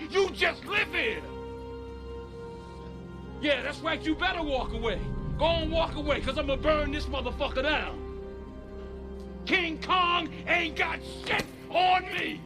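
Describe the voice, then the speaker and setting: High-pitched, brash crooked cop. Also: male voice, fairly quick, music in the background. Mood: raging bravado. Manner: brash, profane, boastful. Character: swaggering, volatile crooked cop, street-tough. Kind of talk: heated film dialogue.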